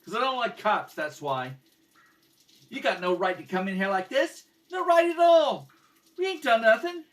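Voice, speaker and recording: A man voicing a defiant young boy in a radio drama, belligerent and loudly protesting. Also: very high voice, very animated, moderate pace, voice pushed up. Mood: angry, defiant. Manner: belligerent, pushy, shouted protests. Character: angry, defiant young boy who hates cops. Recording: homemade, distant mic with background noise.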